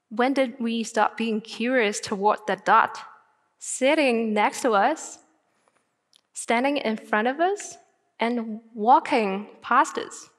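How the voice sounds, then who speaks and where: A young woman with a Mandarin Chinese accent speaks in a fairly high voice, earnest and deliberate, leaning on key phrases as she gives a prepared talk. Her voice carries a long reverb from a large auditorium, picked up by a body mic on stage. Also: a bit bright. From northern China. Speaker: student speaker.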